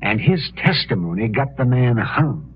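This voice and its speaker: A man's voice: medium pitch, big pitch swings, moderate pace, lightly acted. An actor playing a folksy, chatty Old West townsman in a 1950s radio western. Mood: earnest. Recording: dull, hissy old 1950s radio recording.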